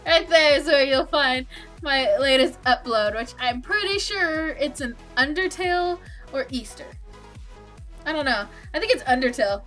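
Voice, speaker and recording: A woman records informal gameplay commentary in a bright, high voice. She rambles along playfully and casually, like a let's play host, with faint music underneath. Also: lively intonation, normal speed.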